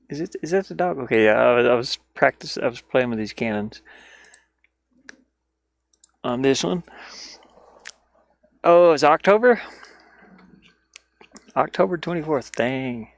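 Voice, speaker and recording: An easygoing man talks through his game development work in a slow, unhurried way. His mid-pitched voice has a creaky fry to it, and he rambles haltingly, often muttering his thoughts to himself. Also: animated, no room sound. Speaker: indie game developer showing his own game. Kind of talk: casual, rambling devlog commentary while working.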